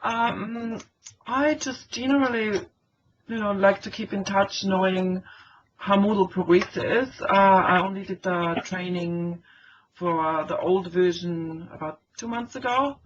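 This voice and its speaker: A woman's voice: medium pitch, takes it slowly. A woman from Austria speaks English with an Austrian German accent, introducing herself hesitantly with plenty of ums. The call audio is muffled, with faint noise behind it. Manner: hesitant, with fillers. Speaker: lecturer.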